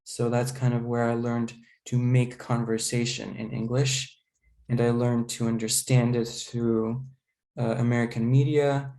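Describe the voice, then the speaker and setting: Young man, guest in a casual interview, dark gravelly voice, flat delivery, lots of hesitation. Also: medium pitch, unhurried. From Serbia. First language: Serbian. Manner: hesitant, many fillers.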